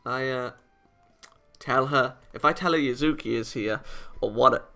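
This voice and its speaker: A man with a bright voice and plenty of fry reads a visual novel aloud as a let's play host. He drops in casual remarks and trips over lines, repeating them. Soft game music plays behind him. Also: mid-range voice, a little slow.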